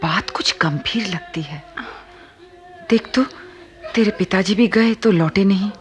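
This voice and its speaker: A woman's voice: medium pitch, unhurried, faint music behind, lightly acted. An actress playing an anxious, nagging middle-aged wife and mother in a radio play, sighing and fretful.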